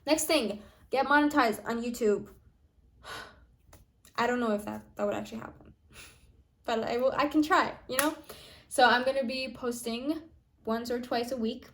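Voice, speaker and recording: A woman's voice: a little high, a little slow, long pauses, a bit bright. A nineteen-year-old woman vlogging in a casual, chatty way, full of fillers and restarts. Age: young adult. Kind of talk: casual planning vlog.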